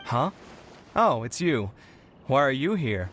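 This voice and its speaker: A man's voice: medium pitch, sing-song, slow, heavy background noise. A man voicing a theatrical, competitive young man who boasts of victory. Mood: cocky. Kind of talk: video game voice acting.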